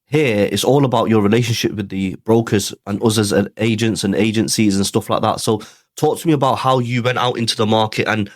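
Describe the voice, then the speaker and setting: A man's voice: fairly low pitch, fairly even intonation, fairly quick, no echo, studio quality. A man hosting a podcast interview, asking questions casually with hesitant fillers. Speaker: podcast host.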